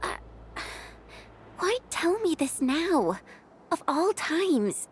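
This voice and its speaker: Spirited young game heroine, high-pitched, blunt, informal, very lively intonation, faint background noise. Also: female voice, very slow, heavily acted. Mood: hesitant, puzzled. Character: spirited, warm-hearted young female fighter. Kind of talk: video game voice acting.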